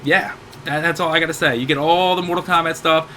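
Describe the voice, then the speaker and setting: Hoarse man in his 30s, gaming host, rambling upbeat sale rundown, a bit high and bright. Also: fairly even intonation, quick pace, slight background noise. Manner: rambling, self-correcting, upbeat. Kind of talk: casual game sale rundown.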